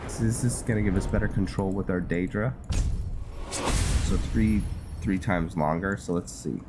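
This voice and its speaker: A man with a deep voice talks slowly through a video game over prominent music. His commentary is casual and halting, as if thinking out loud while he plays. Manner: unhurried, halting, thinking aloud. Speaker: game streamer narrating his roleplay playthrough.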